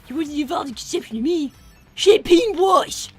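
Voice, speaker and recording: A tiny cartoon fox boy acted by a woman with an extremely high voice that jumps about in pitch. He is blunt and bossy, needling his brother in improvised banter, with music playing behind him. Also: expressive, normal speed, a little mellow, no room sound.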